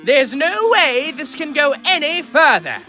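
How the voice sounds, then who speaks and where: A bombastic, lovably naive skeleton from a video game, full of himself and grandly theatrical. The pitch leaps wildly from low murmurs to high cries, while the pace stays deliberate and slow. Music plays faintly behind the voice. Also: male voice, sing-song, exaggerated voice. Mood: grandiose. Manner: grandiose, theatrical, swinging from hushed asides to loud exclamations. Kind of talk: amateur video game character dub. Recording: voice over background music.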